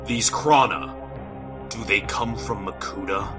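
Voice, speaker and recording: A man's voice: lowish voice, unhurried, music in the background, lightly acted. A man playing a commanding, fiery warrior leader with a declamatory manner in a fantasy audio drama. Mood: serious. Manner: forceful, declamatory. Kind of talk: fan audio drama acting.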